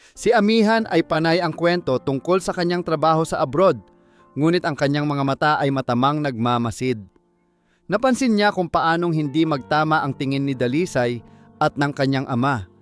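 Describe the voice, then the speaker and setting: A man's voice: fairly high pitch, moderate pace, clear, resonant tone, faint music behind. A man narrating a dramatic scripted audiobook story.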